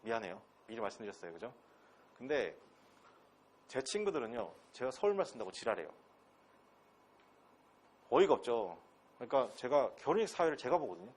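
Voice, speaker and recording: A man's voice: medium pitch, very animated, a little fast, pauses often, a little mellow, husky. A man with a Gyeongsang accent from Pohang giving a classroom lecture as an English instructor. He talks bluntly and casually, with crude slang, and keeps throwing rhetorical questions at his students. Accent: Gyeongsang dialect. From Pohang, South Korea. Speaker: English instructor at a transfer-exam academy.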